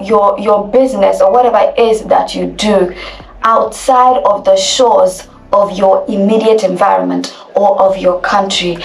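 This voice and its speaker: A Nigerian woman with a Nigerian accent, teaching as an elocution coach, speaking deliberately and repeating key phrases for emphasis. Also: medium pitch, vocal fry, echoey room, far from the mic, low-quality recording. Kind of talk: instructional video talk.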